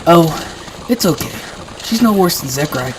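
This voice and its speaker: Woman acting meek, lively little creature guy. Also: on the low side, moderate pace, natural voice. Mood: meek, grateful. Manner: gentle, earnest. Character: meek, friendly, picked-on male Zoombini, small creature. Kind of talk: fan audio drama character acting. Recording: clear background noise.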